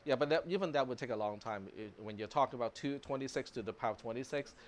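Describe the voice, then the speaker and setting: A man whose first language is Cantonese teaches a university programming class. He talks in a relaxed, chatty way, full of fillers, at a middle pitch. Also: quick pace. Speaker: university computer science lecturer. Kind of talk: live classroom lecture.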